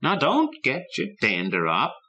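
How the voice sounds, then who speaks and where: A middle-aged postman, slow and puzzled, as a narrator plays him. He speaks hesitantly, weighing each word. Storybook reading. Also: medium pitch, wildly swooping pitch, pushed and bright, dull audio, pitched above the performer's own voice, exaggerated voice. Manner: halting, puzzled.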